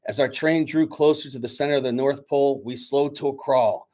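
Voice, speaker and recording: A man speaking to schoolchildren as their teacher, reading a storybook aloud in an expressive, dramatic way. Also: medium pitch, brisk. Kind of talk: children's storybook read-aloud and chat to pupils. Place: indoors, recorded remotely on video.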